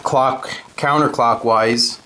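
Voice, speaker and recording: A man's voice: mid-range voice, fairly even intonation, slow, pushed and bright, a little hiss or hum. A man giving a casual, unscripted hands-on tutorial and review, explaining step by step in a blunt way. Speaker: host of a guitar gear tutorial and review.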